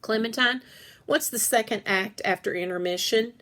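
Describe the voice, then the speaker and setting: A woman's voice: on the high side, moderate pace, a bit bright, lightly acted. Female school principal read by a woman, children's storybook: assured, firm, encouraging, decisive.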